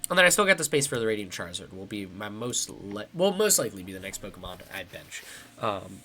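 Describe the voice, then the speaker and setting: A man's voice: on the low side, very animated, fast, pushed and bright, soft background music. A young man with a congested, slightly nasal voice, casually thinking aloud while commentating his own card game match. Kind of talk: live gameplay commentary.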